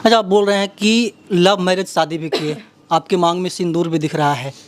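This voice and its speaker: A woman with a Bihari accent working as an interviewer, asking long conversational questions in a halting, wordy way. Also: lowish voice, steady tone.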